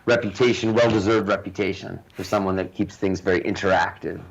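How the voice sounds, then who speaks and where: A man co-host speaking in a halting way, full of fillers and pauses. His voice sits on the low side. The audio is dull and lo-fi, with the top end muffled. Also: fairly even intonation, gravelly, a crowd in the background. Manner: halting, with many fillers, warmly appreciative. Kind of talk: live-stream chat.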